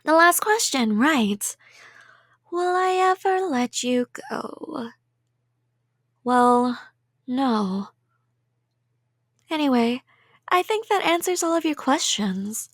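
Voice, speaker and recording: High-voiced, sweetly menacing yandere girl, acted by a woman. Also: animated, slow pace, pauses often, no room sound, clean studio sound. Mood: teasing. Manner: rambling, giggly, coy, threatening in a sweet tone. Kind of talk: intimate ASMR roleplay acting.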